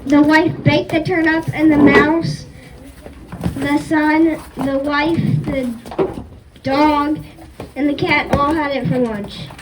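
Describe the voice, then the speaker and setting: Child narrator reading a folk tale, high voice, picked up from a distance with background noise. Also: level tone, takes it slowly. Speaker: pupil narrator in a readers' theater. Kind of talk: scripted story narration, reading aloud.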